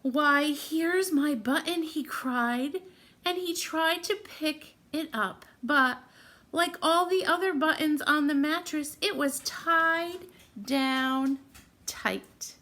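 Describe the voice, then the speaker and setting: Female storyteller, high register, unhurried delivery, expressive picture-book read-aloud pitched at young children with questions. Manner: expressive, simple teacher-like phrasing addressed to children.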